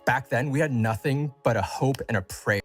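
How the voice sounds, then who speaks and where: Guy doing a prepared company update, medium pitch, faint music underneath. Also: normal speed, breathy edge. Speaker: game company co-founder. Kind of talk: scripted announcement to a community.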